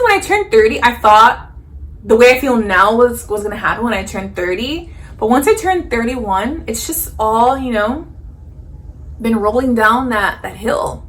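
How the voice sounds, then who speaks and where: A woman in her thirties chatting casually to the camera while doing her makeup, animated and full of fillers and jokey asides. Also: fairly high pitch, moderate pace. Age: 30s. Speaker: beauty vlogger.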